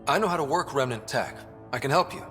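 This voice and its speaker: An actor playing an earnest, confident young human explorer in a video game, speaking politely and eager to help. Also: male voice, mid-range voice, expressive, quick pace, a bit bright, close to an everyday voice. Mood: formal, confident. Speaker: pathfinder. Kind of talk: video game dramatic acting. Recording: long reverb, faint music behind.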